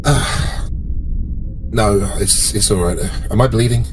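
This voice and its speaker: Man playing low-voiced, wry wounded starship commander. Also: measured pace, noisy background, lightly acted. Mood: dazed, sore. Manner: hesitant, deadpan understatement. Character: injured male starship commander, wry, understated, brushing off harm. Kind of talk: tabletop roleplay in character.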